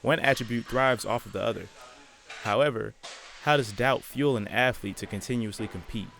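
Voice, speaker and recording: A young man reading a scripted sports feature voice-over as student reporter, in a measured, dramatic delivery. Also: mid-range voice, creaky, a bit bright, slight background noise. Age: young adult.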